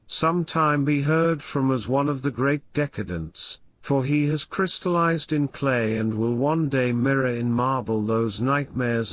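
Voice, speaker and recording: A man with a medium-pitched voice narrates an old weird tale as an audiobook. His delivery is formal and measured, shaped like literary prose, and his phrases settle downward as each sentence closes. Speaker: audiobook reader. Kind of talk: scripted narration of a classic horror tale.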